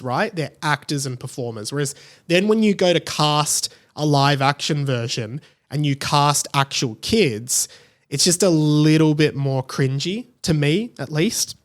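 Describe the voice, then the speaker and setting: A man hosting a podcast solo, giving casual commentary in a conversational way, thinking aloud and repeating words for emphasis. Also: fairly high pitch. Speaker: podcast host.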